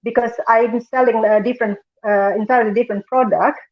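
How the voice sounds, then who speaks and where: Woman in her 40s from Indonesia, halting delivery, phone-like call sound. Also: on the high side, fairly even intonation. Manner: hesitant, many fillers. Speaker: panel guest. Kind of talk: casual online panel talk. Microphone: video call. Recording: tinny phone-like call audio.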